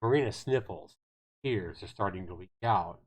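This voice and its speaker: A man's voice: low-pitched, normal speed, slightly rough, low-quality recording. A man reading visual novel narration aloud on a livestream, telling it dramatically with the occasional stumble. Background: guitar music.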